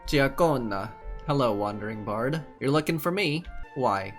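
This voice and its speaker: A man's voice: mid-range voice, lively intonation, unhurried, soft background music. A man giving casual playthrough commentary as a gaming streamer, reacting aloud and musing in questions.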